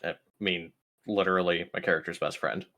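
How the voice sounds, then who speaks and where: A man's voice: sits low, fairly even intonation, fairly quick, a bit bright. A man playing a tabletop roleplaying game, chatting casually and colloquially with the group. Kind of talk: casual chat and in-character banter.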